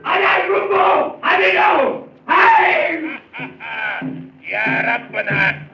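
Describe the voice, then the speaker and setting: A man's voice: extremely high pitch, very animated, very slow, creaky, shouting, soft background music, a faint crowd, exaggerated voice. An actor playing a frenzied man wailing and chanting from a jungle shore in a 1930s radio drama. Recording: echoing, distant, dull 1930s radio recording.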